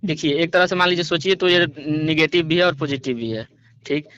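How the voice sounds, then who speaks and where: Bright, forceful man on a muffled call. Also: a little high, fairly quick. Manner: defensive, repetitive, hesitant, respectful address. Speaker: singer being interviewed. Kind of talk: defensive phone conversation. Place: phone call recording. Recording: dull lo-fi recorded phone call.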